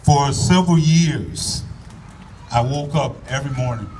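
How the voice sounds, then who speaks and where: A man giving an earnest, folksy address to a crowd at an outdoor rally in a park, speaking loudly into a microphone and urging people to come closer. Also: mid-range voice, measured pace. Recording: voice from far off the mic, faint background noise.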